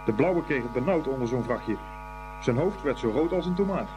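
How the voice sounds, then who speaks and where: A man's voice: medium pitch, animated, normal speed. A man narrating a scripted story as the narrator of a radio play. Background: music.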